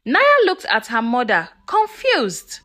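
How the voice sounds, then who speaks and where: A woman telling a scripted folktale as a storyteller, in a measured, expressive and dramatic way. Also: a little high. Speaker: storyteller narrator.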